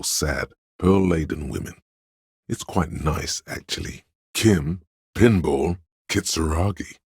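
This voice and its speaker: An extremely deep, gravel-edged scholarly voice from inside the head, narrates for a game. It is very husky and recorded dry and close. The delivery is measured and erudite, grave with a dry sardonic edge. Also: male voice, a little mellow, dry recording, exaggerated voice. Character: scholarly inner encyclopedia voice, grave, dryly musing trivia narrator.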